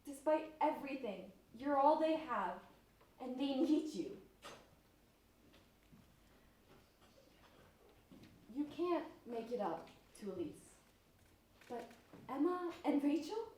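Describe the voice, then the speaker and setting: A woman's voice: high-pitched, very animated, unhurried, mellow. Woman acting an angry, grieving friend in echoey hall. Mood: firm pleading. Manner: emphatic, accusing, impassioned. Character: grieving, angry woman defending her abused best friend. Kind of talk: stage play acting. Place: on stage in a school theatre. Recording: echoey hall, distant mic, noisy.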